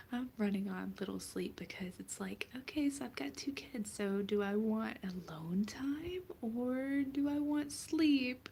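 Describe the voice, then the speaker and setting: A woman's voice: on the high side, vocal fry, slight background noise. A young woman from the Southern US whispering a rambling, casual chat to her viewers, full of fillers and restarts. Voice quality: soft unvoiced whisper. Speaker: vlogger. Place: at home, in bed.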